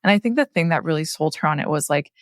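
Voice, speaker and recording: A female podcast producer recounts a story in a chatty, filler-heavy way. Her voice is quite low for a woman. The recording is dry and close. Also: animated, quick pace, dry recording. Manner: chatty, uses many fillers like "like". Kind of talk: casual retelling.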